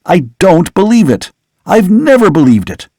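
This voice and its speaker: An agitated young man who pleads and insists with rising passion, as a male narrator puts him on. He sits noticeably higher than the reader's own voice, in a storybook reading. The sound is close and dry. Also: expressive, normal speed, a little mellow, no echo. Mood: impassioned. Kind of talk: audiobook character reading.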